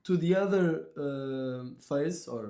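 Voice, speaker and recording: A man's voice: medium pitch, unhurried, dark tone, roomy sound. A young man with a Portuguese accent hosting a podcast, chatting loosely in English with slang and swearing. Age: young adult. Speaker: podcast host. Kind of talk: casual chat.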